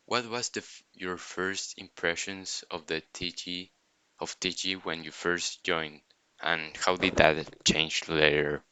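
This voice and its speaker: Deep, crisp-voiced man hosting a podcast. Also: fairly even intonation, slow pace, bright, forceful tone, poor audio. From Argentina. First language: Spanish. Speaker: podcast host. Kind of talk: casual podcast interview.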